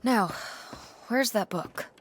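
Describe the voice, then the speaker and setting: A woman's voice: a little high, slow, a little hiss or hum. A woman voicing a curious, slightly sarcastic teenage daughter in a naturalistic video game.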